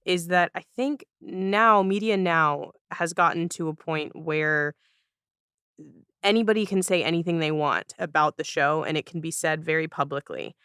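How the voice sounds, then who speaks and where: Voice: medium pitch, moderate pace, bright, forceful tone, clean tone, no echo, studio quality. A podcast host interviewing casually, phrasing thoughts in a tentative, reflective way.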